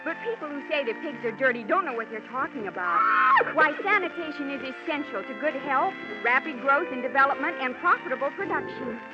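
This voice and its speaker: Earnest young lady pig breeder, high-pitched and prim, 1950s radio drama, dull old recording. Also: lively intonation, a little fast, over loud music. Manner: prim, earnest lecturing about pigs.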